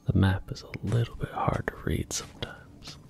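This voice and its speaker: A man softly whispering his way through a video game, gentle and unhurried, close to the mic. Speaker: ASMR gaming host. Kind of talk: soft-spoken ASMR gameplay commentary.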